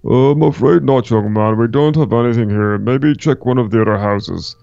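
A man's voice: medium pitch, normal speed, creaky, dark tone, no echo, voice pushed down, lightly acted. A man voicing a polite, apologetic villager in a game roleplay, in a formal manner. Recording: dull, dry recording.

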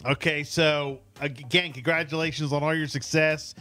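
A man's voice: a little high, steady tone, moderate pace, pushed and bright. A middle-aged man hosting a casual morning radio interview in a chatty, informal, effusive way on a studio mic. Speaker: radio host. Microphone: studio mic on boom arm, headset.

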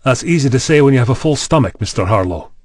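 A man's voice: mid-range voice, expressive, quick pace, no room sound, lightly acted. A man playing a courteous, reassuring university vice chancellor in an audio drama, genial and formal. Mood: smooth, reassuring.

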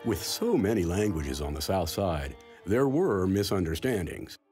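A man narrating a local history documentary in a measured, scripted voice. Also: sits low, big pitch swings. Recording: studio voice-over over music.

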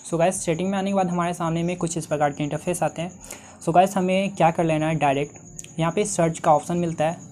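A man in his twenties with an Indian accent hosts a step-by-step tutorial. His voice is soft-edged and mellow. He talks straight to his viewers through a lapel mic. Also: a little high. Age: 20s. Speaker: tech tutorial host.

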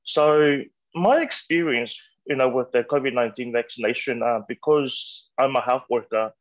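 A man's voice: medium pitch, moderate pace, dry recording. A man, a health worker, speaking earnestly as a guest over a video call with many fillers. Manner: earnest, lots of fillers and restarts. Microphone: headset on a video call. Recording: dry, dull video-call audio.

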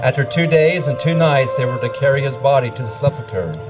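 A man's voice: mid-range voice, brisk. Man narrating scripture over music. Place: outdoor wooded stage. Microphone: handheld microphone through PA. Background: music playing.

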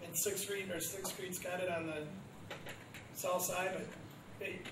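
A man's voice: high voice, level tone, a little slow. A man on a city council giving a blunt, wry, opinionated view at a public meeting. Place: council chamber. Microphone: far room camera. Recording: echoey room from far off with background noise.

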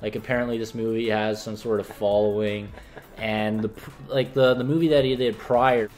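A man's voice: lowish voice, steady tone, moderate pace, darkish tone. A young man co-hosting a casual film review in a small indoor room, with faint music behind. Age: young adult. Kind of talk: casual movie review chat.

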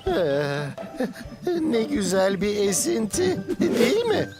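A woman dubbing a goofy, excitable young cartoon rodent who talks in a silly, dramatic way. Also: mid-range voice, slow. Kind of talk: Turkish cartoon dub. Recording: music behind.

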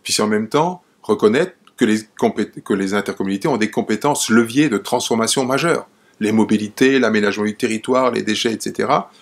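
A man's voice: on the low side, brisk, creaky. A man in his sixties, a mayor giving interview testimony indoors. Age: 60s.

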